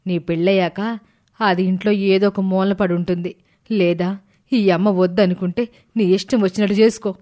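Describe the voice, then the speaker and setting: A woman's voice: mid-range voice, fairly even intonation, normal speed, warm tone, lightly acted. A woman voicing a domineering, angry middle-aged mother-in-law in a story, harsh and bossy.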